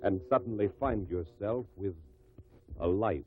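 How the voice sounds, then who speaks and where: A man's voice: on the low side, unhurried. Portentous old-time radio announcer man, tinny sound. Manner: solemn, portentous. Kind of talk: dramatic 1950s radio announcing.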